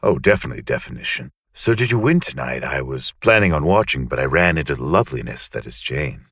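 Suave surgeon, very deep and husky. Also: male voice, animated, fast talker, a bit bright, no room sound, studio quality, heavily acted. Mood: flirtatious, suave. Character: suave, flirtatious, polite male surgeon, handsome and vain. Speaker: actor in a romantic comedy audio drama. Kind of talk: romantic comedy audio drama acting.

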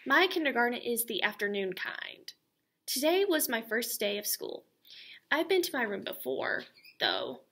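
Young woman teacher, children's read-aloud, expressive, bright, slightly high voice, laptop webcam, classroom. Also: a little slow. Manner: expressive and animated. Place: school classroom, indoors.

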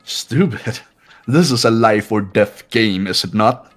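A man's voice: on the low side, slow pace. A man voicing a haughty, cold young man in a video game, condescending and scornful. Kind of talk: amateur video game voice-over. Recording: background music throughout.

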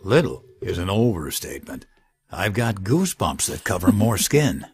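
An actor playing a confident, wealthy industrialist adventurer in a radio drama. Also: male voice, low-pitched, big pitch swings, moderate pace, darkish tone, slightly rough, heavily acted. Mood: teasing.

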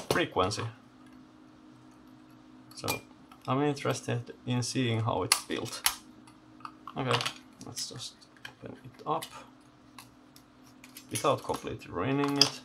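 A man giving unscripted hands-on commentary as he takes electronics apart, halting and thinking aloud in short phrases. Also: mid-range voice, huge pitch swings, gravelly, very noisy. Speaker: host of electronics teardown videos. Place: indoor electronics workbench.

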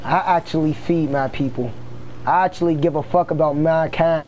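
A man's voice: fairly high pitch, steady tone, normal speed, a little mellow, a little hiss or hum, natural voice. A man playing a defiant, wronged inmate and gang boss in improvised game role-play, talking in indignant, profane street slang. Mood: indignant, bitter.

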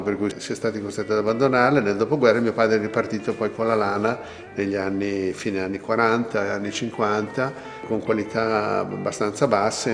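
Older man, gravelly and low. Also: in a large hall. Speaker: textile mill owner. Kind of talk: documentary interview recollection. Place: indoors, seated in a wood-panelled office. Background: plucked guitar music.

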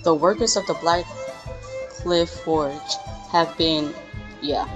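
A young woman streamer reading game text aloud haltingly, correcting herself with casual asides. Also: low-pitched, over music. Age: young adult. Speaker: gaming reaction streamer.

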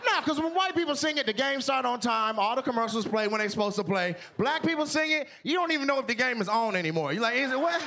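A man from Seattle doing stand-up on a studio stage with a handheld mic, loud and animated, shouting his punchlines. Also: very high voice, fast, few pauses. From Seattle, Washington. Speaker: stand-up comedian. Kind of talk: stand-up comedy. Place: large TV studio stage with live audience.

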